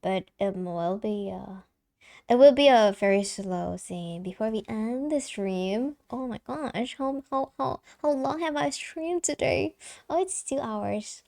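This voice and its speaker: Slow-talking young woman with a light soprano voice. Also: fairly high pitch, creaky, warm tone. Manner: chatty, giggly, halting with many fillers and restarts. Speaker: streamer. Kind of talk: casual live-stream chat.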